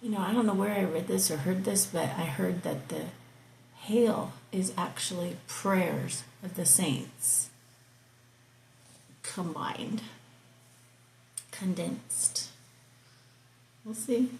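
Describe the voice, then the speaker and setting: A woman reading scripture aloud slowly and explaining it, stopping for casual asides and soft whispered remarks. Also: lowish voice, vocal fry, echoey room, a little hiss or hum, rough recording. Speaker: Bible reading host. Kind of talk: slow scripture reading with commentary.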